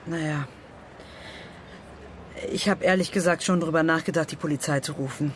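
An actress playing a concerned but wary passer-by, asking practical questions in naturalistic radio drama dialogue. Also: female voice, low voice, steady tone, moderate pace, slight background noise, natural voice.

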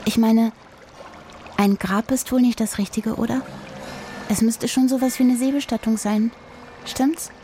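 An earnest schoolgirl in a children's story, acted by a woman narrator. She is worried and sulky, with a raised, girlish pitch and a stammering, murmuring manner. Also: fairly even intonation, a little slow, pauses often, dark tone, soft background music, close to an everyday voice. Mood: quiet, anxious. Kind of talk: children's audiobook character acting.

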